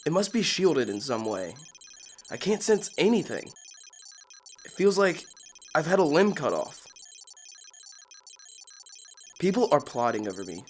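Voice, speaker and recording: A woman playing a defiant, brooding captive in an introspective audio drama monologue. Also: a bass voice. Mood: bitter, determined. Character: caged, angry captive with superhuman senses, brooding and defiant. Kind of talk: scripted audio drama narration. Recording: music under the voice.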